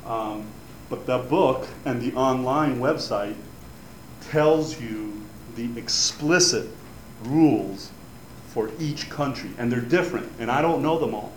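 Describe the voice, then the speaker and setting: A man's voice: mid-range voice, slow pace. Chatty man in an echoey room. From Cuba. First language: Spanish. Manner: chatty, rambling, many fillers and restarts. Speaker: advisor giving an info session. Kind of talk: informal talk to students. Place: room with an audience. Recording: echoey room, faint background noise.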